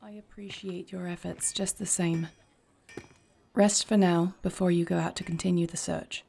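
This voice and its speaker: A woman playing a noble, devoted warrior queen in an intimate fantasy audio roleplay, husky and breathy, speaking in measured, earnest, formal phrases. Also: fairly low pitch, fairly even intonation, dark tone, slight background noise. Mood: concerned, thoughtful.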